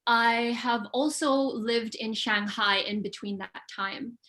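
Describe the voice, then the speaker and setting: A young woman from the Philippines, speaking with a somewhat high pitch. She answers in an articulate, measured way and pauses to think aloud. Her voice comes through a video-call connection. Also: fairly bright tone. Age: young adult. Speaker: pageant contestant guest. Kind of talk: conversational interview answers.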